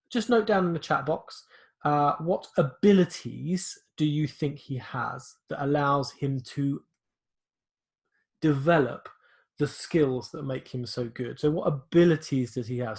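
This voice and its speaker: A man leads an online class as the teacher. He talks loudly and hesitantly, stopping and restarting often and padding his sentences with fillers. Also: medium pitch. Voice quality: loud voice.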